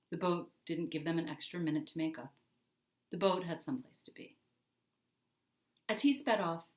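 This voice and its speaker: A woman reading her own children's book aloud as its author, in an expressive storytelling style. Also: lowish voice. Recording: roomy, echoing indoor sound.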